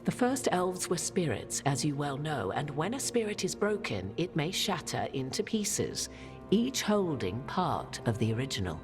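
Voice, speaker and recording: An imperious ancient witch, low-voiced. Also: big pitch swings. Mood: wry, imperious. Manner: formal, archaic, deliberate, theatrical phrasing. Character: ancient, imperious, cryptic witch carrying a goddess fragment. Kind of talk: dramatic fantasy game dialogue. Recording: studio voice over game music. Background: background music.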